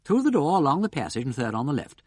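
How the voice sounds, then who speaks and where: A sardonic upper-class gentleman detective, as a man puts him on, drawling and mocking, his pitch leaping high and swooping back down. The voice is husky, and the recording is close and dry. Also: sing-song, quick pace, no echo.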